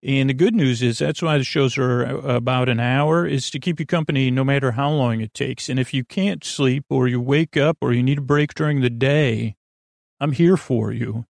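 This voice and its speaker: A man hosting a bedtime podcast in a rambling, halting monologue full of digressions, with a gravelly, creaky voice. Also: medium pitch, a little mellow, dry recording, studio quality. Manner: meandering, halting, full of digressions and trailing thoughts. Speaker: podcast host.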